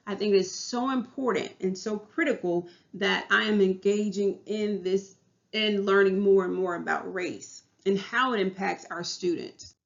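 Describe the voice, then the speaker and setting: A woman's voice: mid-range voice, gravelly, highs cut off. Earnest Southern woman teacher presenting. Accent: Southern US English. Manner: earnest, repeats and restarts phrases. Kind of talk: class presentation.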